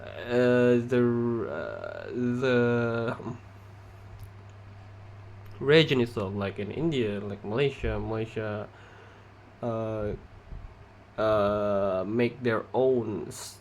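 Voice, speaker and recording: A young man from Indonesia, a non-native English speaker with an Indonesian accent, giving a halting class presentation with many pauses and restarts. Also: fairly low pitch, animated, a little hiss or hum. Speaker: student presenter.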